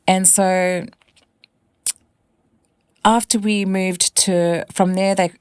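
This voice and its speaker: A woman's voice: mid-range voice, steady tone, very slow, clear, resonant tone, no echo, studio quality. A woman in her forties from West Papua, whose first language is not English, telling her family's story as a guest in a podcast interview with earnest reflection. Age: 40s. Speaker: singer-songwriter guest.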